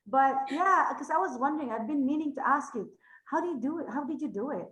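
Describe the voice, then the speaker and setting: A woman's voice: sits high, expressive, quick pace, a bit bright, roomy sound. A woman with a Filipino accent, hosting a casual podcast interview and chatting through her questions. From Philippines. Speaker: podcast host.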